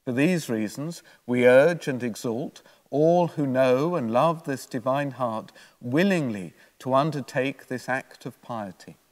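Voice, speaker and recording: Older priest in a reverberant church. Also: male voice, medium pitch, unhurried, hardly any pauses. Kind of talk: homily, read from prepared notes. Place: church, at a lectern. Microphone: gooseneck lectern microphone.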